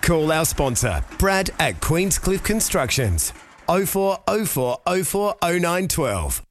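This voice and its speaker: A man reading a brisk, punchy radio ad for a builder. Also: a little high, very animated. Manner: brisk, punchy sales read. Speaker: ad voice-over. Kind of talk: scripted radio ad read.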